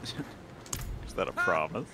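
A man's voice: sits low, very animated, slow pace, pushed and bright. Wry man bantering over a game. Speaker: gamer. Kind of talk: casual co-op gaming chat. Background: game music.

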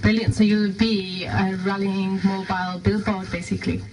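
A woman's voice: medium pitch, flat delivery, moderate pace, a little mellow. Slightly distant woman interviewing on radio. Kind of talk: prepared interview questions. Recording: distant mic with background noise.

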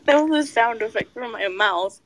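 A woman's voice: a little high, lively intonation, moderate pace, fairly bright tone, slight background noise, poor audio. A woman chatting casually and teasingly as a friend on a call.

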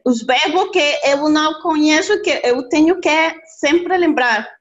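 High-voiced, slow Costa Rican woman, halting. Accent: Costa Rican Spanish. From Costa Rica. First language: Spanish. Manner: halting, searching for words. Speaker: language student. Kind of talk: casual lesson conversation. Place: indoor room, video call. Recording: dull lo-fi video-call audio.

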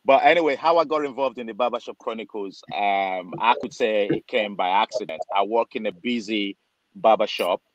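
Chatty barber on a dull video call. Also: male voice, fairly low pitch, moderate pace, dry recording. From Nigeria. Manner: chatty, acts out dialogue, many fillers. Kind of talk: casual storytelling on a video call. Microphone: webcam on a video call.

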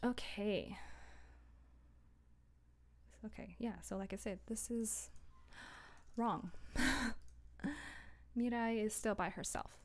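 A woman's voice: on the high side, drawn-out words, long pauses. A young woman chatting casually on a work livestream, giggly and chatty, sometimes murmuring to herself as she works. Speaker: audio drama creator, live streamer. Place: small room at home, indoors.

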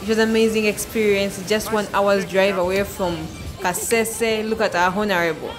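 A woman's voice: mid-range voice, steady tone, moderate pace, music in the background. Upbeat woman travel vlogger. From Uganda. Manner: upbeat, chatty, addresses viewers directly. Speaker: travel vlogger, channel host. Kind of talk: travel vlog to camera. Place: outdoors by a waterfall. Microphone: selfie phone at arm's length.

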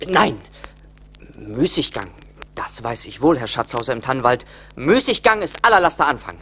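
An actor playing a poor, respectful young charcoal burner in a radio play, speaking earnestly and plaintively. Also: male voice, mid-range voice, big pitch swings, normal speed, pushed and bright, husky, exaggerated voice. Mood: humble, pleading. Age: young adult. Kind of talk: 1980s German radio play acting.

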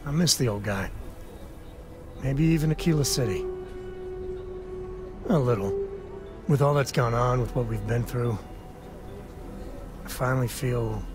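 An actor playing a rugged, bashful space cowboy and affectionate father in intimate video game dialogue, speaking haltingly and sincerely. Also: medium pitch, close to an everyday voice. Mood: wistful. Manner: halting, earnest, sheepish. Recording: music behind the voice.

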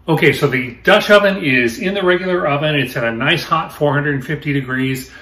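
A man in his fifties hosting a home cooking show, explaining each step in a relaxed way as he works in his kitchen. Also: medium pitch. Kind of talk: casual cooking demonstration.

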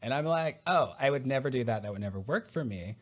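A man's voice: medium pitch, lively intonation, fast talker. A man in his forties hosts a podcast over a headset on a video call from home. He asks his questions in a friendly, curious, conversational way. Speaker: podcast host. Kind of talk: casual interview. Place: home room, indoors.

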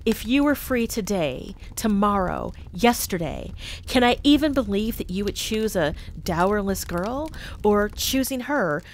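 A woman voicing a young woman who speaks softly with quiet sorrow and resignation. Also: medium pitch, lively intonation, measured pace, slight background noise. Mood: sorrowful. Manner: soft, quiet.

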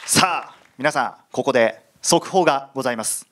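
A man hosting a stage event as emcee, announcing with emphatic, drawn-out phrasing. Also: mid-range voice, fairly bright tone, a faint crowd, slight background noise. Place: cinema stage.

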